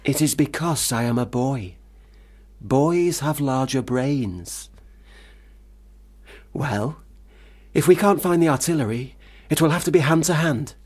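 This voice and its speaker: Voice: medium pitch, expressive, measured pace, long pauses, darkish tone. An actor in a radio play playing a bossy, imaginative young boy who speaks in a pompous, pedantic, declaiming way. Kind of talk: radio drama acting.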